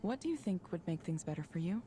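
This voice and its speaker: A woman playing a calm, polite counselor in a video game, speaking scripted advice in a measured, neutral way. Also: on the low side, slight background noise. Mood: neutral, probing.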